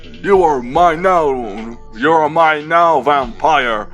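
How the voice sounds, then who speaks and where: A man playing a pompous monster hunter in a story reading, declaiming with hammy menace and drawn-out words. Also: on the high side, fairly bright tone, soft background music. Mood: menacing, triumphant. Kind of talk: amateur character voice in a story reading.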